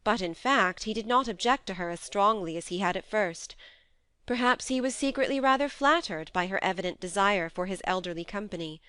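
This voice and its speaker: A woman reading a classic novel aloud as a steady, measured audiobook narrator on a close mic. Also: mid-range voice, smooth, no echo.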